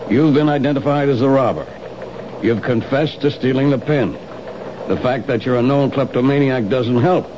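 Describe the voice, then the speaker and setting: An actor playing a wry, suspicious police lieutenant questioning a couple, in a 1970s radio drama. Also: male voice, medium pitch, moderate pace, lightly acted. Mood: skeptical. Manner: dry, drawling, suspicious. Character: skeptical, wry middle-aged police lieutenant. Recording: dull old radio broadcast with loud hiss.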